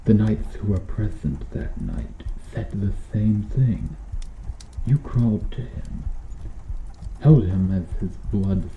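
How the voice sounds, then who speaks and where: A man voicing a cruel, bitter medieval knight in a slow-burn ASMR roleplay, speaking with brooding, deliberate menace. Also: fairly low pitch, lively intonation, echoey room, narrow, tinny audio, slight background noise. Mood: grave, probing. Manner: brooding, menacing, deliberate delivery with dropping hushed phrases.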